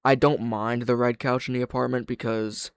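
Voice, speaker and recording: A man's voice: medium pitch, little pitch movement, moderate pace, clean tone, dry recording. An opinionated man hosting a casual reaction video, swearing freely and speaking close to the mic.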